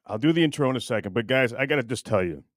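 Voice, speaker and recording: A man hosting a casual comedy show, talking straight to viewers in a halting, stop-and-start way. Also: medium pitch, animated, no room sound, studio quality. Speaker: show host introducing a puppet bit.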